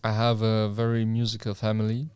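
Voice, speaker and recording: A young man from Germany, co-hosting a casual podcast in English with relaxed, wry deadpan humor. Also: on the low side, fairly quick, clear, resonant tone, no echo. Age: young adult. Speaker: podcast co-host. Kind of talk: casual chat. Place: indoor room, seated in front of camera.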